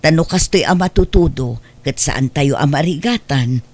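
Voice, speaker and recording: Husky-voiced anxious mother played by an actress. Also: low-pitched, moderate pace, a little mellow. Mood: caring, instructive. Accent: Ilocano. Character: anxious, secretive rural mother burdened by guilt. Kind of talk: Ilocano radio-style drama acting.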